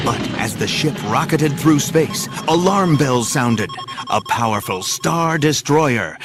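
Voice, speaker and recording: Male dramatic story narrator over music. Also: fairly high pitch.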